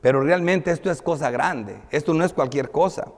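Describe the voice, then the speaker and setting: A male pastor with a high voice delivers a sermon, moving between scripture and explanation. He is emphatic, declaiming some phrases and dropping softer on others. Long reverb of a large hall surrounds his words. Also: normal speed. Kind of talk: sermon, reading and explaining Bible verses.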